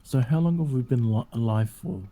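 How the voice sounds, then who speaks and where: A man's voice: mid-range voice, normal speed, dark tone. A man chatting casually on a livestream in brief, laid-back remarks.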